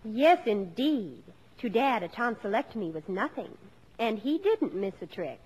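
An actress playing a wry grown daughter narrating family memories in a 1950s American radio drama, deliberate and storylike. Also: female voice, a little high, very animated, darkish tone, breathy edge, heavily acted, rough recording. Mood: wry, mock-sorrowful.

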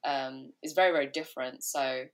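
A woman's voice: a bass voice, normal speed. A woman chatting casually as a podcast co-host, with lots of fillers. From Vietnamese family. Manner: relaxed, many fillers like "um" and "like". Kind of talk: casual chat.

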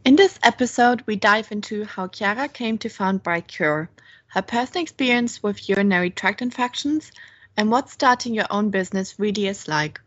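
A woman's voice: mid-range voice, moderate pace, fairly bright tone, no echo. Woman co-host with a dry lo-fi sound. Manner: reads a prepared intro, then hesitant fillers. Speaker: podcast co-host. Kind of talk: episode intro and interview.

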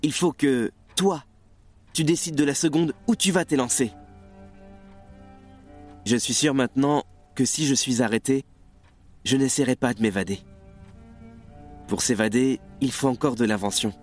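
Man acting a weary, self-reproaching revolutionary, mid-pitched. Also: measured pace, pauses often, faint music behind, close to an everyday voice. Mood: resigned, bitter. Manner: confessional, sombre, reflective. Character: weary, self-reproaching male revolutionary who lost his nerve. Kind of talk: French radio theatre acting.

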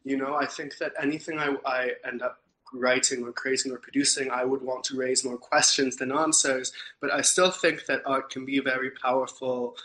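A man's voice: medium pitch, flat delivery, normal speed, sharp tone, poor audio. Earnest, thoughtful young man from Turkey. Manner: thoughtful, earnest, with frequent ums and restarts. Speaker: podcast guest. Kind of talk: casual interview talk.

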